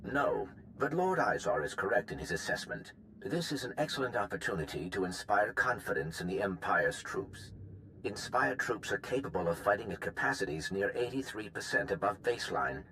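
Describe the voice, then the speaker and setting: An analytical droid advisor for a video game. The voice is low and sharp, with lively swings in pitch. Delivery is clipped, formal and exact, with noise behind it. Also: male voice, moderate pace, full character voice, low-quality recording. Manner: precise, formal, statistical.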